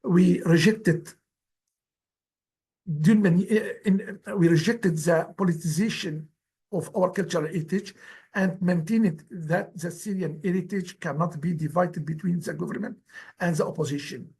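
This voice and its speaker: A man whose first language is Kurdish, giving an earnest, pleading presentation in halting, careful English. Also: high-pitched, darkish tone, husky. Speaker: guest speaker, former heritage director. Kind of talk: formal presentation in non-native English.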